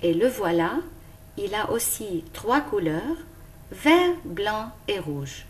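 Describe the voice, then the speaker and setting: Female schoolteacher, teaching children slowly with a lively, rising and falling voice. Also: mid-range voice. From Lebanon. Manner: slow, careful and clearly articulated. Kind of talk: recorded lesson for young pupils.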